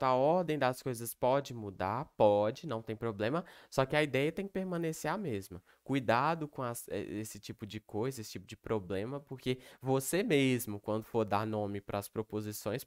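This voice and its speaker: A man's voice: medium pitch, smooth. A young man, giving a recorded online lecture. He explains step by step and keeps checking in with the listener. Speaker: teacher.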